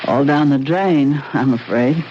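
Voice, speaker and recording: An actress playing the worried, earnest wife of a lodge owner in a vintage radio mystery. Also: female voice, a bass voice, a little slow. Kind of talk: vintage radio drama acting. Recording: dull old radio broadcast with faint hiss.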